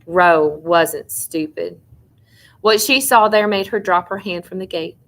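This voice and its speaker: A woman's voice: lowish voice, a little slow. A woman teacher reading a novel aloud expressively, with informal asides to her students.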